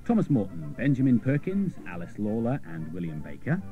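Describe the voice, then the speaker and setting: A man's voice: lowish voice, wildly swooping pitch, a little fast, faint music behind. An English man presenting and interviewing on a children's radio magazine show. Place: radio studio. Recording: dull old cassette radio recording.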